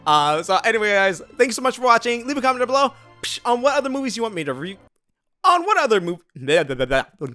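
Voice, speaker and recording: A man's voice: very high voice, very animated, fast talker, bright, forceful tone, no echo, soft background music. A man giving a casual video review, talking straight to viewers with exaggerated emphasis and playful, slangy asides. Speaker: YouTube movie reviewer.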